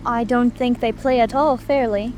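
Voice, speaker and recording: A woman's voice: fairly high pitch, fairly even intonation, moderate pace, darkish tone, slight background noise, natural voice. A woman playing an earnest, curious and prim young girl in a dramatic audiobook reading. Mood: complaining. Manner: prim, plaintive.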